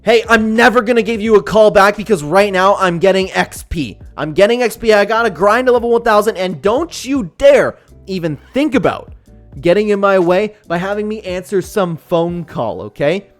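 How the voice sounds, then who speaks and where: A man's voice: sits high, expressive, moderate pace, pitched above the performer's own voice. A man voicing an obsessive, brusque gamer's voicemail greeting in a YouTube video, with music behind. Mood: brusque, driven. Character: obsessive grinding gamer, brusque, refusing all calls.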